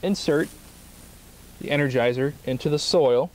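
A man's voice: a little high, measured pace, a little mellow, slight background noise. A man in his thirties giving a plain step-by-step demonstration outdoors in a grassy field, speaking in short phrases while he works. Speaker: product demonstrator.

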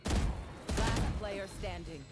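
An actress voicing a calm female game announcer who delivers crisp, clipped callouts. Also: medium pitch, extremely slow. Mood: announcing. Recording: game audio with loud music behind.

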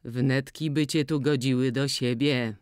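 A reader voicing an older, stubborn farmer who speaks low and coaxing in an audiobook. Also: fairly even intonation, a little slow, a little mellow, clear, resonant tone, no echo, clean studio sound, lightly acted. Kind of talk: audiobook character voice.

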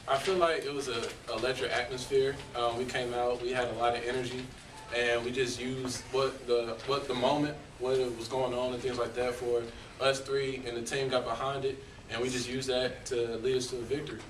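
Even-toned young man in a noisy, echoey room. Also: mid-range voice, moderate pace, distant mic. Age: early twenties. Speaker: college basketball player. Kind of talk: press conference answers. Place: indoor press conference room.

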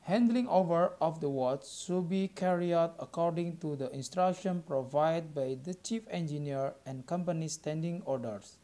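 A young man with an Indonesian accent reads a scripted student presentation aloud, halting word by word. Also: on the high side, dark tone. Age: young adult.

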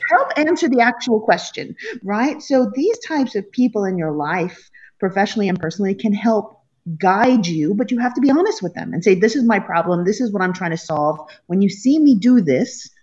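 A woman's voice: mid-range voice, moderate pace, breathy edge. Frank woman on a lo-fi video call. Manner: frank, animated, self-mocking. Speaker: guest, company chief. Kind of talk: video call panel talk. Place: home room with wooden shutters. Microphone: laptop webcam on video call. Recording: dull lo-fi call audio.

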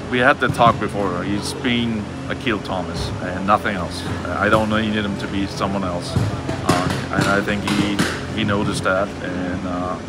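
A man in his forties with a German accent, a hockey coach answering postgame questions in halting speech full of fillers and restarts. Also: on the low side, fairly even intonation, over loud music. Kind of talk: postgame press interview. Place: arena hallway, indoors. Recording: loud background noise.

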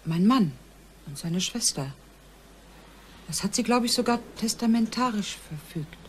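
A woman's voice: mid-range voice, animated, a little slow, slight background noise, lightly acted. An actress playing a composed married woman giving plain, informative testimony in a German crime radio drama. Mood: factual.

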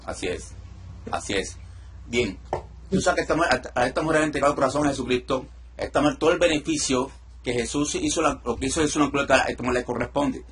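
A middle-aged man speaks with calm authority over a video call. He gives steady commands and pauses to explain each step of the session. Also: mid-range voice, a little hiss or hum. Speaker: deliverance minister. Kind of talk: ministry session with teaching asides. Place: indoor room.

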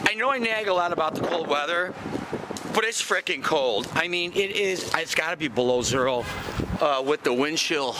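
A man's voice: fairly high pitch, animated, moderate pace. A man in his fifties, a salon owner, chatting upbeat to a handheld camera as he walks through his salon. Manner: upbeat, chatty, talks to camera while walking. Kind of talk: casual vlog walkthrough. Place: salon interior with hallways. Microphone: handheld camera at arm's length. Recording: echoey room, loud background noise.

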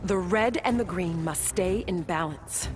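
A woman's voice: lowish voice, unhurried, natural voice. A woman voicing a tough, confident young superheroine in video game dialogue. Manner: confident, cool. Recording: very loud music behind.

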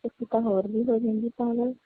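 A woman's voice: on the high side, little pitch movement, fairly quick, no echo. Young woman on a tinny phone line. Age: young adult. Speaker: niece on a phone call. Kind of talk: casual private phone chat. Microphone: telephone. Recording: tinny, band-limited phone call recording.

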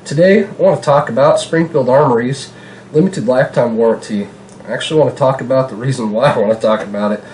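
A man in his thirties with a stuffed-up nose from a cold, hosting a casual gun-gear vlog from his kitchen table, chatty and relaxed with many fillers. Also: medium pitch, quick pace, a little hiss or hum, poor audio. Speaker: gun channel host. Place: home kitchen, indoors.

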